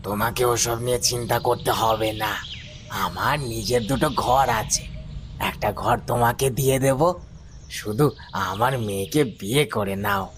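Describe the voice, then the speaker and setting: A man's voice: medium pitch, steady tone, moderate pace, a little hiss or hum, natural voice. A man voicing a gullible, devout village husband in a cartoon moral story, earnest and deferential. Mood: persuasive.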